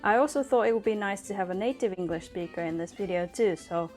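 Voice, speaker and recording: A woman's voice: medium pitch, fast, darkish tone. Japanese woman hosting in English over guitar music. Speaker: host. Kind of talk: casual scripted chat in English for learners. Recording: music behind the voice.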